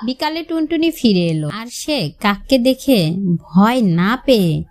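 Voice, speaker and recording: A woman's voice: on the high side, very animated, unhurried, darkish tone. A woman narrating scripted moral stories for children. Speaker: storyteller.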